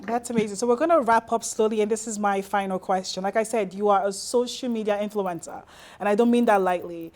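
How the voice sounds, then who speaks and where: A woman's voice: medium pitch, steady tone, fairly quick, a little mellow. A woman hosting an interview in a conversational, effusive and admiring way. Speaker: interview host.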